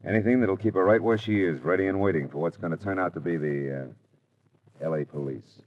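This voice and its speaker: An American actor playing a hardboiled, world-weary private detective in 1940s radio drama style, clipped and colloquial. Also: male voice, low voice, brisk, a little hiss or hum, lightly acted. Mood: wry, grim. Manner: clipped, colloquial tough-guy delivery. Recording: dull old radio recording, music behind.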